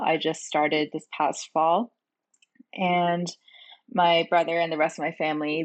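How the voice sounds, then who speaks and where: A woman in her twenties, a native Korean speaker from South Korea, answering interview questions in a relaxed, thoughtful way with many fillers. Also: deep voice. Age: 20s. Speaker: guest.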